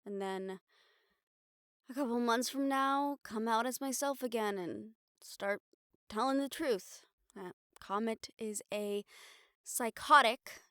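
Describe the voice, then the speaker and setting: High-voiced, wry young woman reporter, acted by a woman. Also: a bit bright, no echo, clean studio sound. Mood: determined, scornful. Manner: casual, wry, sweary. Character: weary, sardonic, stubborn young woman newspaper reporter. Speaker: audio roleplay voice actor. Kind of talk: intimate audio roleplay acting.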